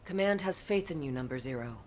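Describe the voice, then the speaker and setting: An actress playing a dutiful military transport operator in a video game, polite, measured and official. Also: female voice, low voice, lively intonation, darkish tone, a little hiss or hum. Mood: formal, polite. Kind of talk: formal game briefing dialogue.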